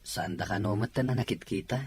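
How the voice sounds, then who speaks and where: An actor playing a polite, easygoing young son in an audio drama, with a raspy voice. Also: male voice, on the low side, a little fast, a little mellow. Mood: agreeable. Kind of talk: Ilocano audio drama acting.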